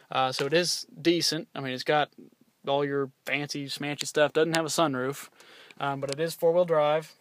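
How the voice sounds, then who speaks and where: A man sits in his parked SUV and rambles through a casual vlog, with loose swearing and plenty of ums and false starts. His voice sits a bit high for a man, and the sound is dry and close, with no room echo. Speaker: vlogger reviewing his CB radio.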